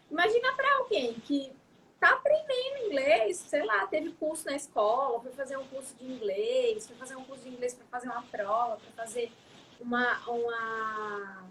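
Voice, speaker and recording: A woman's voice: high-pitched, sharp tone, slight background noise, rough recording. A young adult woman, a psychologist, talking in a casual interview, reflective and often adding tag questions. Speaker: psychologist guest.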